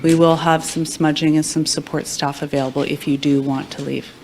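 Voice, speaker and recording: A woman with a lowish, flat voice makes gentle announcements before a press conference begins. She speaks carefully and slips in fillers, and a faint background hiss sits under her. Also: brisk.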